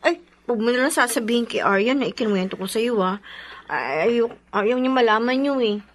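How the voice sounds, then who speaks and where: An actress plays a chatty young Filipina friend in a radio drama. Her voice is high and lively, and she speaks with emphatic, gossipy concern. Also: brisk, lightly acted. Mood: gossiping.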